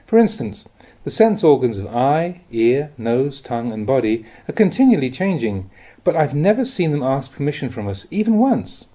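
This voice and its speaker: Mellow man reading with lively intonation. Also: medium pitch, moderate pace, highs cut off. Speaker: reader of Buddhist teachings. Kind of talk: audiobook reading of a spiritual talk.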